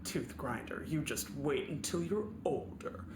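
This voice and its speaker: A woman's voice: sits low, lively intonation, unhurried, echoey room, background noise. A woman playing a self-important, militant warhorse in a comic radio play, blustering and superior. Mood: smug bluster.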